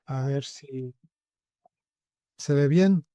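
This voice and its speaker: A man's voice: medium pitch, very slow, dark tone, dry recording. A man hosts an online masterclass, introducing the guest informally with small restarts. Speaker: host, moderator. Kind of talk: introducing a guest on a video call.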